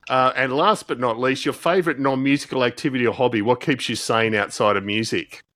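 A man's voice: medium pitch, brisk, a bit bright, no echo. A man hosting a casual interview podcast, effusive and affirming as he talks. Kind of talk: casual interview and show sign-off.

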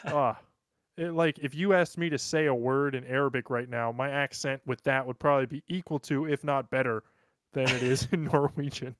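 A man's voice: medium pitch, fairly even intonation, moderate pace, a bit bright, no room sound. A man chatting and bantering casually as a podcast co-host, with a crude, joking manner.

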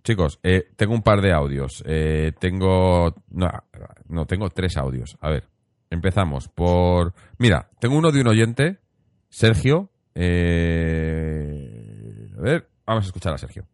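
A man's voice: sits low, animated, takes it slowly, darkish tone, no room sound, studio quality. A man hosting a podcast, reading out listener comments and introducing audio messages informally. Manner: informal, lots of fillers, addresses listeners. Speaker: podcast host.

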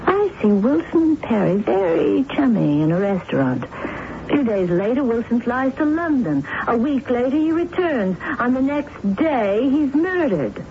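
On a tinny, narrow radio recording, a theatrical woman accused of murder. Her voice runs high and swings widely as she challenges and insists with emphatic drama, playing amateur detective. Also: big pitch swings, a little slow. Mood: insistent, probing. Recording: narrow-band noisy old radio broadcast.

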